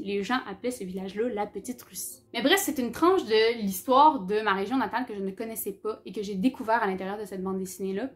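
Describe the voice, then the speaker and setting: A young woman with a Quebec French accent, from Abitibi, talking candidly and casually about books in her vlog. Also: fairly high pitch. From Abitibi-Témiscamingue, Quebec. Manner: chatty and candid, with fillers, English words and swearing. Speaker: book YouTuber. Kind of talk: casual book-review vlog.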